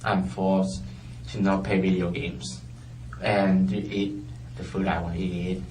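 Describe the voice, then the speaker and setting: A man with a very deep voice who is not a native English speaker talks slowly and haltingly. He gropes for words and breaks into nervous little laughs. He is picked up from a distance in an echoey interview room with faint background noise. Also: flat delivery, a little mellow. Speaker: murder suspect being interrogated. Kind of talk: hesitant interrogation answers.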